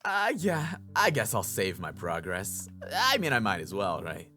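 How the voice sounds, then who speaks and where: Youtuber guy doing casual game commentary, animated, jokey n self mocking, music behind. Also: fairly low pitch, a little slow. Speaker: YouTuber, voice actor playing a game.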